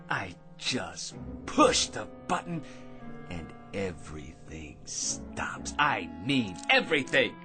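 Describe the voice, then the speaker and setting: A boastful, excitable schemer from an old-time radio play. He wheedles in slangy patter with big swings of pitch. Music runs underneath. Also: exaggerated voice. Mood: gleeful. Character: cocky, excitable working-class dreamer man with grand schemes.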